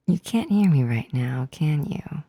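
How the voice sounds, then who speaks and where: A woman playing a female sleep paralysis demon in an ASMR roleplay, softly and intimately into a close microphone, often whispering, by turns teasing, menacing and awkwardly tender. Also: deep voice, dry recording, full character voice. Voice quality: soft, breathy, often whispering. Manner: slow, intimate, close-up delivery. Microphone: close, dry microphone.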